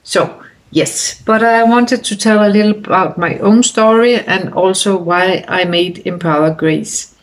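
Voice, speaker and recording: A woman's voice: mid-range voice, unhurried, low-quality recording. A woman in her fifties from Denmark with a Danish accent, talking casually to camera in her bedroom, hesitant with many fillers and restarts. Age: 50s. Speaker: vlogger. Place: bedroom indoors.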